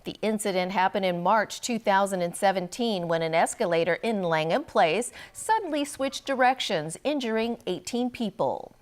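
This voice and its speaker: A woman's voice: mid-range voice, moderate pace, few pauses. A woman reading scripted television news as an anchor.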